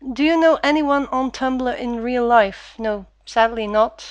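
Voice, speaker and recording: Lady vlogging, kinda high voice, lots of uhs, super dry sound. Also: no echo. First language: Dutch. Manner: hesitant, frequent "uh" fillers, stumbles when reading. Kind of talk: casual Q&A with read-aloud passage.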